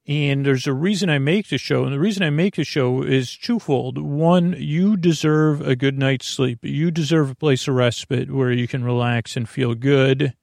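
A man hosting a bedtime podcast in a creaky voice, meandering gently through silly tangents and halting self-corrections. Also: mid-range voice, dry recording, clean studio sound. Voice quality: creaky, gravelly. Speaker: podcast host. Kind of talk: rambling, soothing bedtime monologue.

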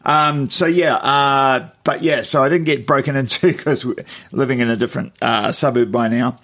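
Croaky New Zealand man chatting casually. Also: mid-range voice, steady tone, moderate pace, a bit bright. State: slightly hoarse from yelling. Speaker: podcast co-host. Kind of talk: casual chat.

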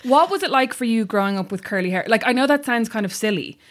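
A woman who hosts a casual chat, asking curious questions and jumping in with quick interjections. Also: a little high, lively intonation.

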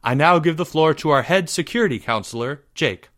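A man's voice: fairly high pitch, lively intonation, fairly quick, a bit bright, pitched above the performer's own voice. A man voicing an orderly schoolboy club president in a formal, firm, businesslike manner.

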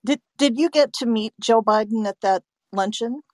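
A woman's voice: fairly high pitch, normal speed, darkish tone, no echo, clean studio sound. A woman hosting a conversational interview, putting questions and comments to her guest. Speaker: interviewer, host.

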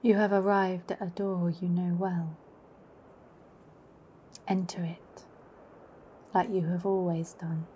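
A woman narrating a poetic, meditative guided journey in a hushed, solemn, unhurried manner. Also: on the low side, level tone, a little hiss or hum.

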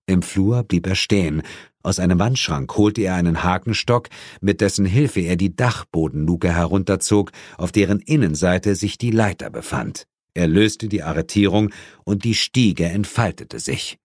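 A man's voice: low voice, expressive, moderate pace, darkish tone, no echo, studio quality. A man narrating a horror audiobook in the first person, in a dramatic scripted style.

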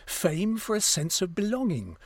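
A man's voice: high-pitched, big pitch swings, normal speed, no room sound. A man playing a scathing, articulate cultural critic debating on a broadcast news panel in an audio drama. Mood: earnest, critical. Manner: articulate, opinionated.

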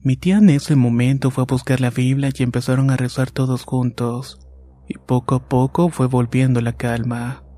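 Male horror narrator, dark and mellow timbre, monotone and steady, faint music bed. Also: mid-range voice, normal speed. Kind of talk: scripted horror story narration.

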